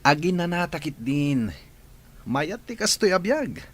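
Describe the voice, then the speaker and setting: Brief: a smug radio-play upstart, high and slow. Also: male voice, very animated, a bit bright, heavily acted. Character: smug man promoted to manager. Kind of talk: radio drama acting.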